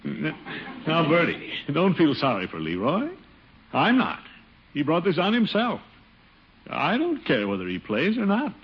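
A man's voice: on the high side, huge pitch swings, unhurried, pauses often, exaggerated voice. An actor playing a flustered, blustery middle-aged uncle and committee chairman in a theatrical old radio comedy. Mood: stubborn. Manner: theatrical, exclamatory, chuckling. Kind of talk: 1940s-50s radio situation comedy acting. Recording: noisy, muffled old radio recording.

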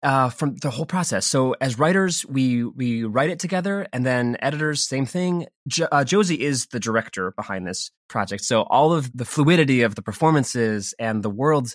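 A man's voice: medium pitch, lively intonation, moderate pace, few pauses, no echo, clean studio sound. An American man talking casually and effusively as an interview guest about creative work. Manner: enthusiastic, effusive.

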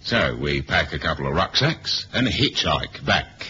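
Sardonic spy hero, British accent, extremely low voice, deadpan, old radio drama, muffled hissy recording. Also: male voice, measured pace, exaggerated voice. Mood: wry. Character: wry, laconic British male spy, womanizing and casual. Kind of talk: 1970s radio drama, first-person spy narration and dialogue.

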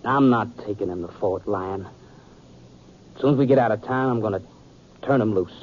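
An actor playing a proud, wounded young sheriff in a radio western, terse, earnest and intense. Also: male voice, fairly low pitch, moderate pace, close to an everyday voice. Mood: bitter, resigned. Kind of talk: 1950s radio western acting. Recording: muffled, noisy 1950s radio recording.

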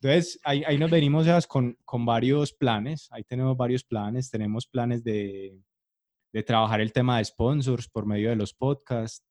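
A man's voice: mid-range voice, steady tone, moderate pace, darkish tone. A man, a podcast co-host on a video call, earnestly and steadily explaining plans. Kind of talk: casual chat over a video call.

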